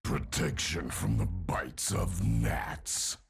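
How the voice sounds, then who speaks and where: An actor playing a hulking, bloodthirsty undead warlord from a video game, with a guttural, raspy voice and a grim, menacing delivery. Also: male voice, sits low, expressive, slow, full character voice. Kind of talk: video game character voice lines.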